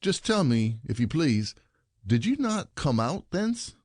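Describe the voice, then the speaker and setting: A man's voice: on the high side, sing-song, measured pace, darkish tone, dry recording, studio quality. A man playing a suspicious, bitter husband in a classic stage comedy, theatrical and probing.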